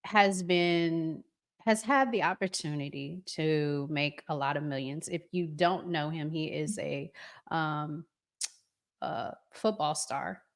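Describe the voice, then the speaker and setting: A woman hosting a live stream, giving casual, conversational commentary to viewers with deliberate pauses. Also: low voice.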